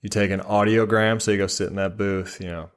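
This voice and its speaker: A man's voice: lowish voice, steady tone, fairly quick. A man being interviewed, explaining his work in an informal, conversational way with short, loosely hedged sentences. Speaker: interview guest, military air traffic controller. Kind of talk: casual explanatory interview chat.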